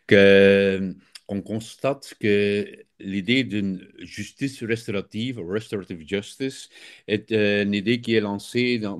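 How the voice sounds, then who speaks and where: A man with a Flemish accent, a native Dutch speaker, explaining his field as an interview guest while often searching for words. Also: low-pitched. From Belgium. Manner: hesitant, searching for words, many fillers. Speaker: guest expert.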